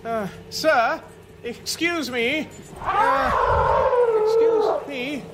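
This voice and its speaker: A man's voice: very high voice, big pitch swings, very slow, noisy background, full character voice. An actor playing a bewildered, exasperated English everyman stranded in space, plaintive and incredulous, in a comic science-fiction radio drama. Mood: bewildered, doubtful. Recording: radio play with music behind.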